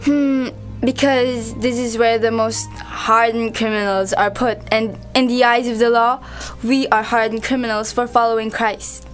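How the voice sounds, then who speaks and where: Woman acting high-voiced, steadfast young prisoner. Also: steady tone, measured pace, soft background music, lightly acted. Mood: sorrowful, earnest. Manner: earnest. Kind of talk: amateur student radio drama acting.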